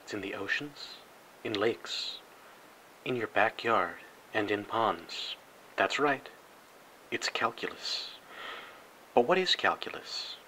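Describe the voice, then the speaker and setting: A man with a fairly low, bright and forceful voice narrates a spoof educational film. He delivers it deadpan, with mock-serious grandeur, over some faint background noise. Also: slow pace, pauses often, rough recording. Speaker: narrator and host.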